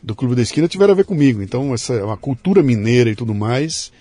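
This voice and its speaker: Brazilian guy from interior são paulo hosting a chat, husky, gets really animated telling stories. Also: medium pitch, fast, no room sound. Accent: São Paulo interior Brazilian Portuguese. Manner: animated storyteller, emphatic asides. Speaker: podcast host. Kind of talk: conversational interview.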